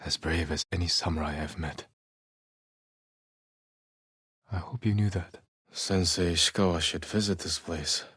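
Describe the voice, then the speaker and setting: An honorable samurai warrior from a video game, solemn and reflective. His voice is deep and rough-edged, recorded close and very dry. He speaks with restraint, like a stoic man carrying grief. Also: fairly bright tone, no room sound, full character voice. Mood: grieving, resolute. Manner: restrained, solemn, measured delivery. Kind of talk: dramatic video game acting, reflective monologue.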